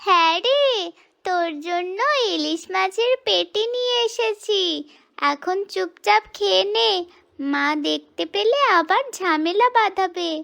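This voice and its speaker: A woman's voice: extremely high pitch, a little slow, gravelly, a bit bright, full character voice. A woman voicing a kind, dutiful young village girl in a cartoon folk tale, speaking in a careful sing-song way. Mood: caring.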